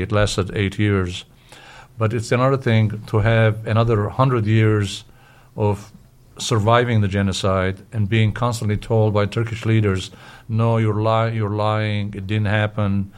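Older man, from Syria, low voice, narrow intonation, stammered repeats, explanatory interview talk. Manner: halting, searching for words with stammered repeats. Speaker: interview guest.

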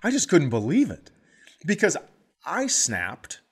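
A middle-aged man, a comedian co-hosting a podcast, telling stories in a casual, animated way and acting out the people in them. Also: fairly high pitch, a little slow, slightly rough. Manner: animated, acts out voices in his stories.

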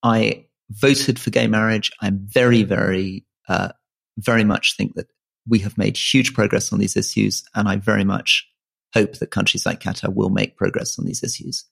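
Gravelly, halting man from Malaysia. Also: lowish voice, steady tone, fairly bright tone. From grew up in Malaysia. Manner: earnest, halting, many restarts and repeated words. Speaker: podcast co-host. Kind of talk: casual political chat.

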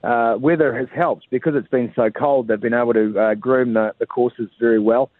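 A man's voice: mid-range voice, steady tone, normal speed, no room sound. A man reporting by phone, chatty, with lots of "uh" fillers. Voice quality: tinny phone line. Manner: conversational, frequent "uh" fillers. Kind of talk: live phone cross to a radio show. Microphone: telephone. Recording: phone line.

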